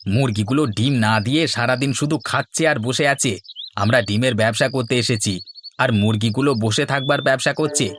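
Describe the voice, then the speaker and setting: A man as an excitable, doubting cartoon villager. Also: medium pitch, normal speed, slight background noise, lightly acted. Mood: complaining. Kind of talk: comic Bengali cartoon dialogue. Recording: music behind the voice.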